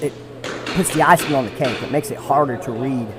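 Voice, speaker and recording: A man's voice: medium pitch, fairly quick, music in the background. A man giving casual live commentary on an airsoft match, repeating himself and restarting phrases as he talks. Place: small side room near the field. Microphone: shared desk mic.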